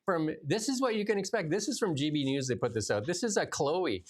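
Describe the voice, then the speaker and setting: A Canadian man co-hosting a casual political talk show, exasperated and sarcastic, his voice jumping higher when he gets riled. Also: expressive, fairly quick. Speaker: co-host.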